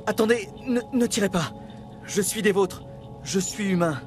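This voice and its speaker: An actor playing a frightened, cowardly human survivor in a video game, nervous and rambling. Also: male voice, high-pitched, moderate pace, music in the background, natural voice. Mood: pleading, scared. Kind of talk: dubbed video game cutscene acting.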